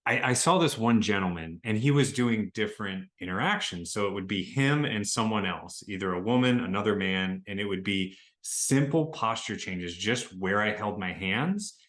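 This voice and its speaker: A man hosting a podcast, with a naturally deep voice, musing in a loose, casual way. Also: lively intonation, normal speed, hardly any pauses, a bit bright. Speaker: podcast host. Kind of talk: conversational interview.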